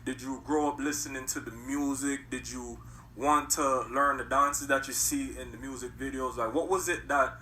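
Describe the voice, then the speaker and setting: A man interviewing a guest, softly asking questions and murmuring acknowledgements. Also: mid-range voice, steady tone, moderate pace, reverberant, recorded from a distance, a little hiss or hum. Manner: soft-spoken, with many murmured acknowledgements. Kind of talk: casual interview.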